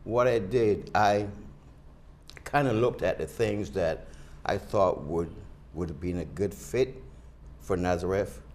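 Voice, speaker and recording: A man's voice: low-pitched, slow, darkish tone, slight background noise. An older man from Barbados, a pastor, answering interview questions earnestly with many restarts.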